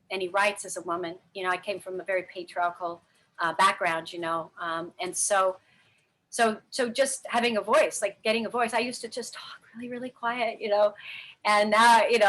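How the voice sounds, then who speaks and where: A woman's voice: mid-range voice, a bit bright, low-quality recording. A middle-aged woman telling her life story candidly in an interview, rambling with many fillers and restarts. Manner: frank, earnest. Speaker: interview guest.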